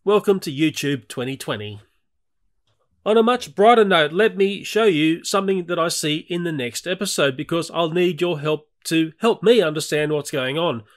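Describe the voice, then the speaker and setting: A man's voice: on the high side, moderate pace. A middle-aged Australian man narrating a nature video in a chatty, candid voice-over.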